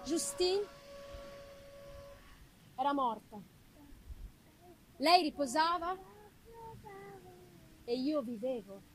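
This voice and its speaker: A woman narrating as a guilt-tormented man in a brooding, dramatic literary reading with deliberate phrasing. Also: high-pitched, expressive, a bit bright, slight background noise, voice pushed down, heavily acted, rough recording. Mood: bleak, desolate. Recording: live music behind.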